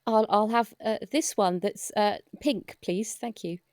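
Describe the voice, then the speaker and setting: A woman's voice: mid-range voice, measured pace, a little mellow, no echo, clean studio sound, natural voice. A woman playing a timid, deferential hospital porter with a shady past in a comic audio drama, stammering politely. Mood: nervous, polite.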